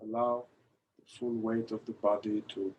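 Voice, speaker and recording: A man leading a guided meditation in a calm, gentle manner, pausing between short phrases. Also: fairly low pitch, a little hiss or hum, poor audio. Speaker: meditation guide, somatic therapist.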